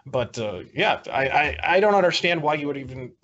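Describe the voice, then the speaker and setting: A man's voice: fairly high pitch, animated, a little fast, a bit bright, dull audio. A man in a heated political debate, argumentative, often breaking off and restarting his sentences. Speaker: debate guest.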